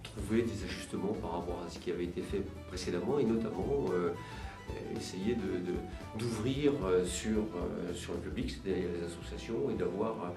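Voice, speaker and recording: A man giving measured interview answers as a local official, halting and searching for words. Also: deep voice, creaky. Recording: roomy, distant mic, music behind. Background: music.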